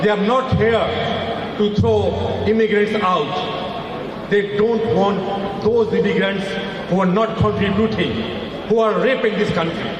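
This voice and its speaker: Emphatic man, echoing over loudspeakers. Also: high-pitched, background noise. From Afghanistan. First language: not English. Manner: emphatic, repetitive, rising to shouts. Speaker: rally speaker. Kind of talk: impassioned political speech. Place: outdoor rally stage over loudspeakers. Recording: echoey loudspeaker sound from far off.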